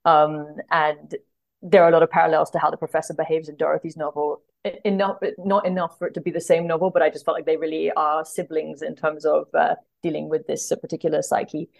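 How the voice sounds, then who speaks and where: A woman with a low voice and plenty of vocal fry talks as a podcast guest. She is conversational and thoughtful, feeling her way through each point and rephrasing as she goes. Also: little pitch movement, fast. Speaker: podcast guest, literary translator. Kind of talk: casual interview chat about books.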